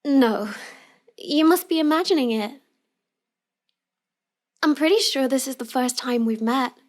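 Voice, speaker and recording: A woman playing a shy, sweet young villainess who feigns helplessness in a halting, apologetic way for an intimate roleplay audio. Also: sits high. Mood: sweet, guarded.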